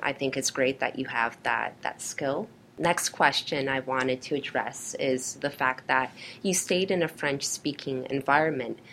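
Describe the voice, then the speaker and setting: Very low-voiced woman interviewer. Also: fairly bright tone, slight background noise, rough recording. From Canada. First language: Polish. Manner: formal, reads prepared questions. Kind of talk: scripted interview questions.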